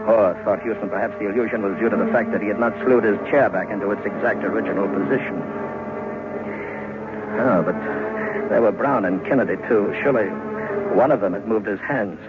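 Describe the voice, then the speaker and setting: Low-voiced man narrating horror on tinny old radio. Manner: suspenseful, deliberate phrasing. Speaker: storyteller. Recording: thin, band-limited vintage radio recording with music.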